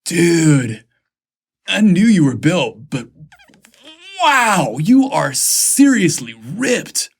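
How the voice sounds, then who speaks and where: Man voicing gushing, flustered young male assistant, higher-pitched. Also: big pitch swings, extremely slow, pauses often, slightly rough, full character voice. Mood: awestruck. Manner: gushing, blurting, exclamatory.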